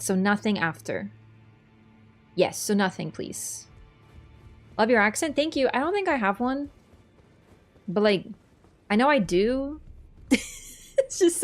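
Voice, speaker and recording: A woman with a Toronto accent streaming a video game, chatting with viewers in a rambling, self-deprecating way. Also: mid-range voice. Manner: scatterbrained and rambling, self-deprecating, loses her train of thought. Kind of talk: casual livestream chat, reading and thanking viewers.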